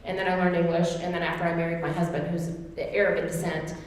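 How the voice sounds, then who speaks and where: A woman's voice: on the low side, little pitch movement, fast. Oklahoma-accented woman in an echoey hall. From Tulsa, Oklahoma. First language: Turkish. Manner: chatty, answers questions at length. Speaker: guest speaker. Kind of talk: informal class talk. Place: church classroom. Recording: echoey hall with long reverb.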